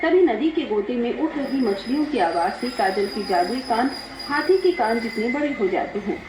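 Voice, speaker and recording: A woman's voice: on the high side, lively intonation, moderate pace, background noise. A woman narrating a scripted dramatic story. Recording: echoey, dull, music behind.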